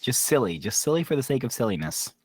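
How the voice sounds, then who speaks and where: Male podcast co-host, lively sing-song delivery, playful, dry close sound. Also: mid-range voice, fast talker, husky, no echo. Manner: lively, playful, laughs often. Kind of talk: casual chat.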